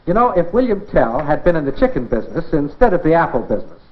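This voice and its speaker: A man's voice: fairly high pitch, sing-song, fast. An American man presenting an educational science film in a scripted 1950s style, instructive and addressing the viewer directly with rhetorical questions. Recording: dull band-limited 1950s film soundtrack.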